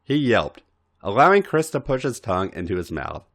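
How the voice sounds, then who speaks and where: A man with a fairly deep voice reads fiction aloud for a podcast. His narration is highly animated, with big swings in pitch across the phrases. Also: normal speed. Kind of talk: expressive scripted story narration.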